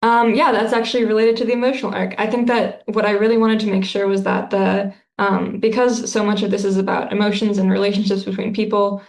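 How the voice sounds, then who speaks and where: A woman's voice: medium pitch, darkish tone, reverberant. A woman author answering interview questions in a casual, thoughtful way, with lots of fillers and restarts.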